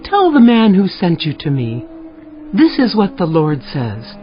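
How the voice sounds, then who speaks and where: A muffled recording with music carries a stern female prophet. She declaims grave judgment in measured, solemn phrases, in the manner of dramatized scripture. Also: lowish voice, huge pitch swings.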